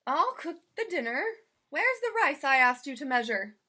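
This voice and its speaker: Woman putting on a shrill, exasperated lady of the house. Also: big pitch swings, normal speed, bright, forceful tone, heavily acted. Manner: emphatic, dramatic exclaiming. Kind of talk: children's storybook character voice.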